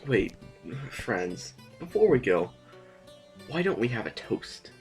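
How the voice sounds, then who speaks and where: A man's voice: medium pitch, very animated, takes it slowly, soft background music. A man playing an affable, hesitant sovereign who rambles politely in a science-fiction audio drama. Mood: eager, flustered. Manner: chatty, hesitant, eager to smooth things over. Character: affable, rambling male sovereign of a space fiefdom.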